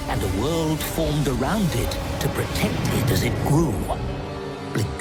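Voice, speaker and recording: Slow, dramatic male storyteller over music. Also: mid-range voice. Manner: deliberate, intense. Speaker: audio story narrator. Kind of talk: dramatic scripted story narration. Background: prominent music.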